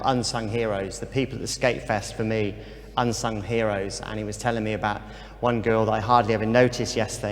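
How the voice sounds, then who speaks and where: A man gives an informal, earnest talk about faith and kindness. He speaks at a middle pitch in a loose, unhurried way, often pausing on "um". His voice carries a long reverb from a big hall. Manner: relaxed, many ums, earnest.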